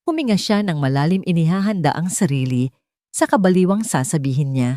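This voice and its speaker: Low-voiced woman narrating a story. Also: expressive, brisk, mellow, no room sound, clean studio sound. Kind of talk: scripted dramatic audiobook narration.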